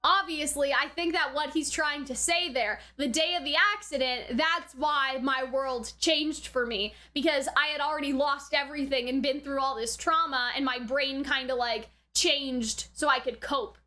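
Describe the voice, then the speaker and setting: Bright, high-pitched woman reviewer. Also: moderate pace, few pauses. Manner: chatty, often checking with "right?". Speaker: host of an anime reaction and review channel. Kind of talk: casual commentary and episode recap.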